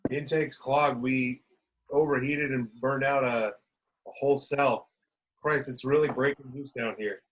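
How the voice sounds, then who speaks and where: A man's voice: mid-range voice, fairly even intonation, unhurried, lightly acted, poor audio. Man playing a harried engine-room technician in a sci-fi script, ordinary mid-range voice. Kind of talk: amateur screenplay table read.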